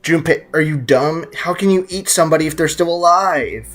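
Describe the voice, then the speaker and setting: A man's voice: on the high side, moderate pace. Brief: young male let's-player, loose rambling jokes, faint music bed. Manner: joking, rambling, excitable. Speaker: gaming YouTuber. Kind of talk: casual let's-play commentary. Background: game music.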